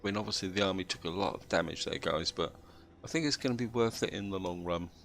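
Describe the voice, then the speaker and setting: A man with a cold and a slightly croaky voice, calmly explaining his moves as he plays a strategy game. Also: low-pitched, fast, slight background noise. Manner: steady, explanatory, thinks aloud. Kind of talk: gameplay commentary.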